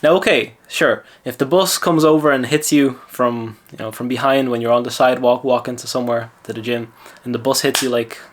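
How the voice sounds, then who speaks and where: A man's voice: mid-range voice, steady tone, brisk, hardly any pauses. A man from Lithuania with an Irish accent, a mindset coach telling a gym story in casual talk and then giving self-assured advice. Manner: chatty, self-assured, lecturing.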